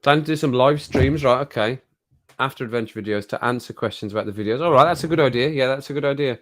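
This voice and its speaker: A man hosting a casual live stream chat, rambling and laughing often, his voice a little croaky after a cold. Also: medium pitch, quick pace, no echo.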